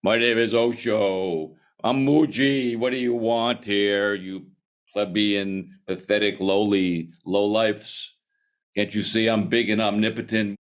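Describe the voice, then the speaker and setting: A man's voice: on the low side, fairly even intonation, slow pace. A man voicing a big, pompous guru who poses as an omnipotent wizard, blustering and scornful in a comic story retelling. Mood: scornful, imperious.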